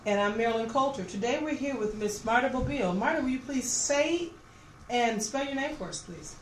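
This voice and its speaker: A woman's voice: a little high, animated, normal speed. A woman conducting a recorded oral history interview as the interviewer, asking questions. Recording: echoey room, clear background noise.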